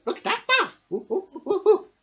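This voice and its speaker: Small storybook forest animal, voiced by an amateur reader: excitable, wary, exclamatory, very high pitch, lo-fi sound. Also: moderate pace, full character voice. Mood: excited, shocked. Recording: dull lo-fi home recording.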